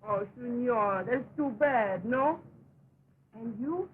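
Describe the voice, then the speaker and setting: A woman's voice: on the high side, expressive, slow pace, a little hiss or hum. A woman playing a coquettish saloon girl in an early talkie Western, with a coy manner. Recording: tinny 1929 film soundtrack.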